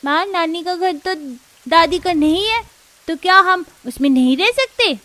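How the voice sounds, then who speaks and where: A woman's voice: very high-pitched, a little slow, sharp tone, heavily acted. A woman voicing an innocent little girl in a cartoon family drama, with rain behind the voice. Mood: innocent, questioning.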